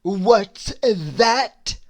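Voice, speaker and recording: A woman voicing a rude, impatient male storybook creature who roars in a loud, drawn-out, emphatic way. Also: medium pitch, expressive, voice pushed up. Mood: roaring, impatient. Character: rude, impatient, bad-tempered male cartoon creature in a top hat.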